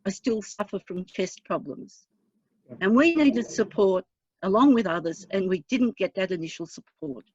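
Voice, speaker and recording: Husky woman councillor. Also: medium pitch, animated, a little mellow, no echo. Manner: earnest, measured, plain-spoken. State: hoarse from lingering smoke. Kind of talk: council meeting speech. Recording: dry, dull lo-fi meeting audio.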